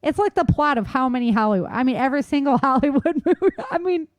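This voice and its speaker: A woman's voice: high voice, animated, fast, no echo. A woman co-hosting a podcast in casual conversation, speaking in an emphatic, opinionated way. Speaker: podcast co-host.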